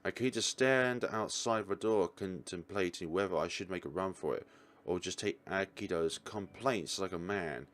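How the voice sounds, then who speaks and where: Low-voiced man reading game story aloud. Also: normal speed, fairly bright tone. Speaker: let's play host reading the game aloud. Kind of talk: reading story text aloud, casual reactions.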